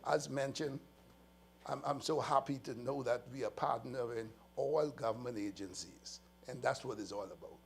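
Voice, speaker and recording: Bahamian man, gravelly voice, faint hum. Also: mid-range voice. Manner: earnest, emphatic, walks through slides with pauses. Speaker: police official. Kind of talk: press briefing presentation. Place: press conference room.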